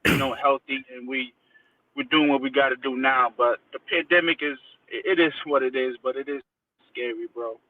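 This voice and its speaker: A man's voice: mid-range voice, fairly even intonation, moderate pace, slight background noise. Tinny, phone-like man chatting casually. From Haiti, then Connecticut from age six. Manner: informal, slangy, repeats phrases for emphasis. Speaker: interview guest. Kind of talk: casual chat, personal recollection. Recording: tinny phone-like line, distant from mic.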